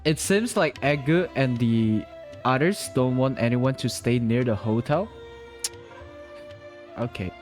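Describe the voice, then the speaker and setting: A man's voice: medium pitch, takes it slowly, pauses often, soft background music. A man streaming a game, reacting casually in slangy speech to his chat.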